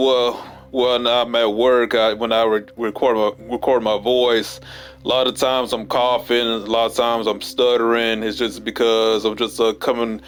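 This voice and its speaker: A man vlogging casually at work, hoarse from a cold, talking in halting runs full of fillers and restarts. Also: fairly low pitch. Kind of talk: casual rambling monologue. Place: at work during downtime.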